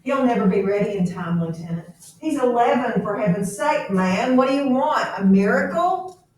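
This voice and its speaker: A woman's voice: fairly high pitch, animated, normal speed, darkish tone, natural voice. A woman reading a novel aloud as a skeptical middle-aged male army captain, exasperated and challenging. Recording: very echoey room, distant mic.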